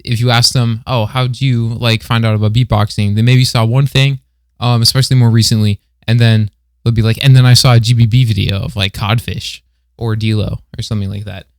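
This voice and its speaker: A man's voice: mid-range voice, level tone, brisk, dry recording, clean studio sound. A man chatting casually as a guest on a podcast.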